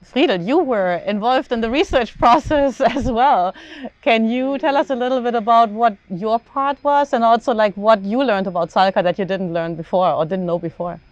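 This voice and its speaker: Woman moderator, slightly high voice, casual questioning, dry and muffled audio. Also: normal speed, darkish tone, dry recording.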